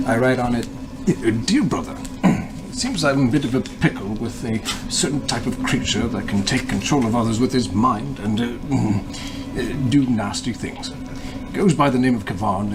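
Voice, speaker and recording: A man's voice: mid-range voice, natural voice, rough recording. A man voicing a pompous, inquisitive dragonborn sorcerer with formal, hesitant phrasing in a tabletop game.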